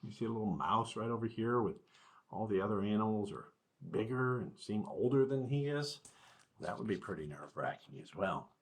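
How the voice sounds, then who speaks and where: A man's voice: lowish voice, animated, fairly quick, breathy edge. An American man in his fifties, a school superintendent, speaking to children in a conversational, reassuring way during a read-aloud. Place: small indoor office.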